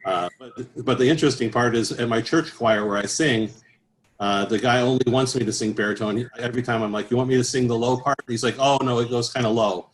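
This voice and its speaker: A man's voice: mid-range voice, steady tone, fairly quick, rough recording. A middle-aged man talking casually as an interview guest, in a rambling, anecdotal way. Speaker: interview guest, professional choral bass singer.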